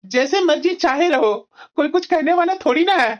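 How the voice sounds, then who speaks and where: A woman's voice: very high-pitched, moderate pace. A woman voicing a pompous, entitled, complaining young son-in-law in a cartoon family drama. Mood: gloating. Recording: dull, lo-fi sound.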